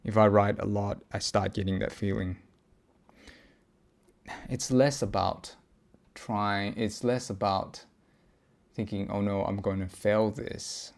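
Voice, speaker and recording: A man recording a reflective personal audio log, speaking haltingly as he searches for words and often pauses mid-thought. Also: on the low side, fairly even intonation, creaky. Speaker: podcast host.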